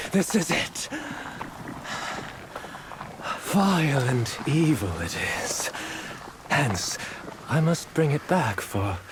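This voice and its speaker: An actor voicing a scheming male Taoist disciple in a video game, soft-spoken and sly, with whispery asides. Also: mid-range voice, noisy background. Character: scheming male Taoist disciple, secretly monstrous, courteous then hungry. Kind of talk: video game cutscene acting. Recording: game audio over ambience.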